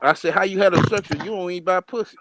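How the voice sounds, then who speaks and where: Guy with a slightly high voice, blunt and mocking. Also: very fast, a bit bright, no room sound. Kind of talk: casual call-in banter.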